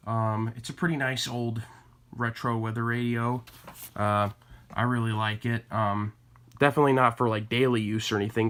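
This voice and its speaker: A man's voice: medium pitch, a bit bright. A man presenting items from his collection in a casual, plain-spoken vlog style, thinking aloud as he goes. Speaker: collector presenting weather radios. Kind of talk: casual product showcase vlog.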